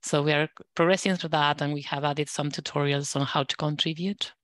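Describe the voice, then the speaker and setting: A woman's voice: low voice, fairly even intonation, brisk, fairly bright tone, no room sound. A woman giving a spoken status report to colleagues as a working group lead on an online call.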